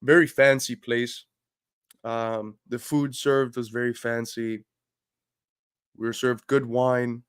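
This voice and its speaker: A man's voice: mid-range voice, little pitch movement, slow, pauses often, clean tone, dry recording, studio quality. A man hosting a livestream, talking earnestly and building to emphatic points while feeling under the weather. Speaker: livestream host. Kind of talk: casual livestream talk.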